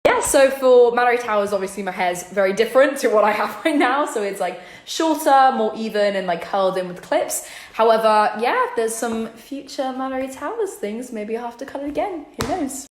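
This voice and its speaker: Teen actress, British accent with American slips, chatty and giggly, slightly high voice, phone vlog in a roomy kitchen. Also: female voice, slight background noise. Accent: mostly British, sometimes American. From born in New York, raised in London. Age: teens. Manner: chatty, repeats "really, really", light giggles. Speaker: young actress answering fan questions. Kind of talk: casual vlog Q&A. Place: her home kitchen, indoors.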